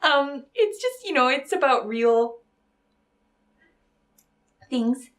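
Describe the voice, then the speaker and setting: A woman playing a nervous, rambling and earnest romance novelist in an improvised comedy interview, halting and trailing off. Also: high voice, expressive, a bit bright, heavily acted. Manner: flustered, halting, chatty, trailing off.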